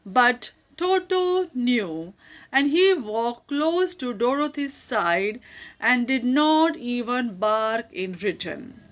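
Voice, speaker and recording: A woman's voice: fairly high pitch, sing-song, slow. A woman narrating a children's story as an audiobook storyteller.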